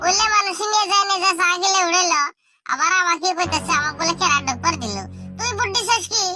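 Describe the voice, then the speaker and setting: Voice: very high voice, expressive, fast, over loud music. A performer voicing a whiny, indignant little cartoon schoolkid who rambles and grumbles. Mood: indignant, argumentative. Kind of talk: comedy cartoon dialogue in colloquial Bangla.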